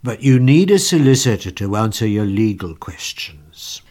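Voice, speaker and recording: A man voicing a kind older priest who speaks softly and with patience. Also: lowish voice, sing-song, voice pushed down. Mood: kindly. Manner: soft-spoken, measured and reassuring.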